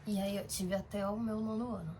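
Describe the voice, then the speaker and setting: A woman's voice: mid-range voice, steady tone, brisk, warm tone, slight background noise. A young woman from Brazil talking casually in a diary-style vlog, rambling, with fillers and self-corrections. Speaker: vlogger.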